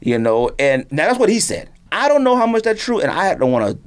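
Animated man with swinging pitch, rambling emphatically. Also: fairly quick. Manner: rambling, emphatic, repeats himself for stress. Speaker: sneaker podcast host. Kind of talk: casual opinionated podcast chat. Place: podcast studio.

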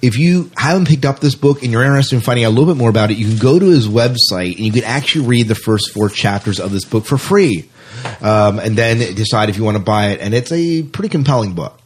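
A man's voice: mid-range voice, animated, fast, slight background noise. A man hosting a science fiction podcast, talking enthusiastically and rambling a little with fillers. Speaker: podcast host. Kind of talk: casual podcast chat.

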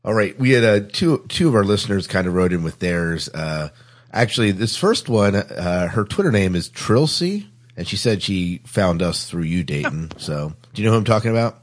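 A man with a low voice hosts a podcast conversation. He talks casually and agreeably, often repeating short words of assent. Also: expressive, moderate pace. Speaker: podcast host. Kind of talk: casual chat.

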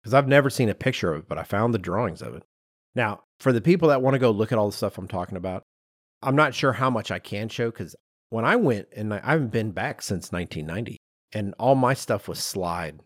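A man's voice: on the low side, expressive, a little fast, dry recording, studio quality. A man, telling stories as a podcast co-host in an emphatic, self-deprecating, conversational way. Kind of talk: animated storytelling in casual podcast chat.

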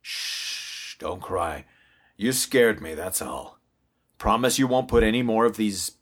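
A protective father from a storybook, acted by a male narrator, speaks plainly and firmly. He sounds exasperated but caring, with very lively intonation. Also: on the low side, moderate pace. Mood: soothing.

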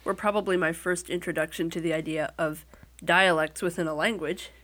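A woman's voice: fairly low pitch, fairly even intonation, fairly quick, a bit bright. A woman with a Midwestern American accent, talking casually as an interview guest and telling things in a wry, lightly joking way. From Midwestern United States.

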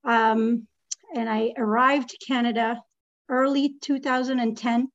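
A woman's voice: fairly high pitch, fairly even intonation, unhurried, lo-fi sound. A woman in her thirties, originally from Israel, speaking as a guest in an interview, hesitant with many fillers and restarts. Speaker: guest, real estate lending executive.

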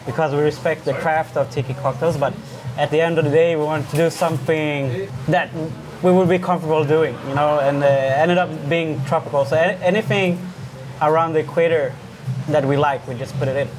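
A man's voice: a little high, steady tone, brisk. A man in his thirties from Sweden, a bartender chatting easily as a guest in a bar. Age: 30s. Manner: easygoing, short answers, many uh fillers. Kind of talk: casual interview chat. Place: busy bar. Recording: noisy bar background.

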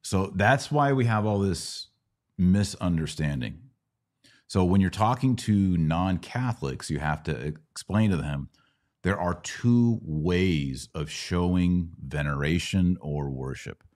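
Casting: American male podcast host, middle-aged, deep register, conversational religious teacher who checks the listener follows. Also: lively intonation, takes it slowly, darkish tone. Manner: didactic, checks in with "right?". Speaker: podcast host answering Bible questions. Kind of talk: casual explanatory religious teaching.

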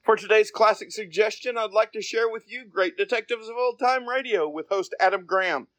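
A male podcast host with a high-pitched voice. He narrates a scripted review in a dry recording with no room echo. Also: fairly quick, a bit bright.

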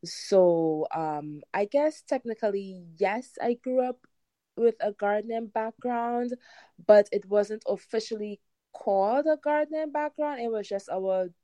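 A woman's voice: mid-range voice, measured pace, mellow, dry recording. A woman in her thirties with a Trinidadian accent, chatting casually as an interview guest. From Trinidad and Tobago. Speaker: podcast guest, home gardener.

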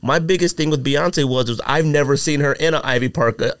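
A man hosting a casual podcast chat, telling stories with lots of fillers in an animated, drawn-out way. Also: a little high, clean tone, dry recording, studio quality. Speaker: podcast host.

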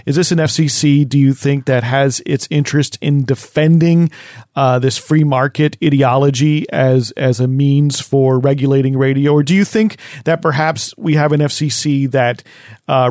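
A male host asks long, carefully built questions, pausing on fillers as he works toward his point. His voice sits in an ordinary range and sounds dry, with little room around it. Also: mid-range voice, fairly even intonation, darkish tone, no room sound. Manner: thoughtful, pauses with fillers while framing long questions. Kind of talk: interview questions.

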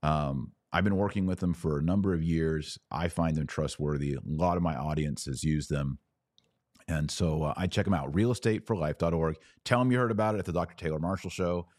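Deep-voiced American man hosting a podcast. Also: a little mellow, no room sound, clean studio sound. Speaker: podcast host. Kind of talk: conversational commentary answering listener questions.

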